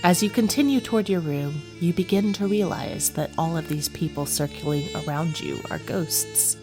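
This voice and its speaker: Raspy-voiced woman hosting. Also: lowish voice, animated, normal speed, over music. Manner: playful, chatty storyteller. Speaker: podcast co-host. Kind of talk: casual chat. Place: small carriage house room.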